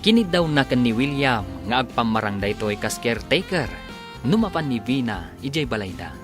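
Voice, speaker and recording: Animated Ilocano radio announcer over music. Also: male voice, medium pitch, normal speed. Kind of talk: scripted radio serial narration. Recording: music bed under the voice.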